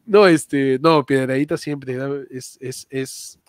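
A man's voice: mid-range voice, slow pace, no room sound, clean studio sound. A man chatting casually about stand-up comedy, rambling, restarting often and using lots of slang. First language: not Spanish.

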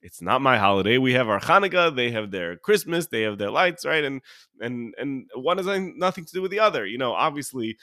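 An American man who is sniffly with a cold, hosting a solo podcast and teaching in an informal, halting way, rephrasing as he goes. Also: medium pitch, animated, pushed and bright, dry recording, studio quality. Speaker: podcast host and religious teacher.

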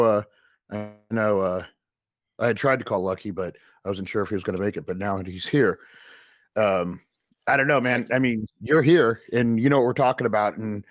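A laid-back man with a lowish voice hosts a casual chat, asking loose, friendly-sounding questions. The sound is close and dry. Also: moderate pace, dry recording.

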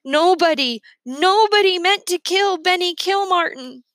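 Woman voicing a sad, earnest boy, pitched up. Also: unhurried, a bit bright, no echo. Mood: anguished.

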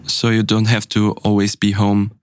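A young man from Burgenland co-hosting a podcast, speaking softly and hesitantly with fillers. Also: fairly low pitch, completely flat delivery, normal speed, clean tone, no room sound, clean studio sound. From Burgenland, Austria. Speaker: podcast co-host. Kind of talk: casual podcast conversation.

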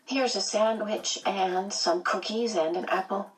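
A precocious young boy, acted by a woman narrator, speaks high above an adult register. He is sure of himself and insistent, in audiobook style, a bit away from the mic. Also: a little slow, far from the mic, close to an everyday voice, low-quality recording. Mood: assured. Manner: assured, insistent. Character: small, self-assured, precocious young boy. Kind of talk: audiobook character voice.

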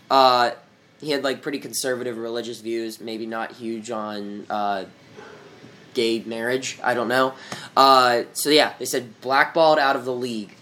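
A man's voice: mid-range voice, sharp tone, a little hiss or hum. A young man hosting a casual sports podcast, talking in a loose, joking, rambling way with plenty of fillers. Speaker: podcast host.

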